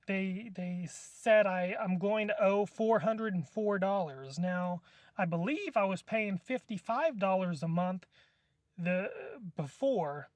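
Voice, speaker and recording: A man's voice: high voice, slow, darkish tone, no echo, studio quality. A man on a live stream, chatting casually and hesitantly, often restarting his words. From California.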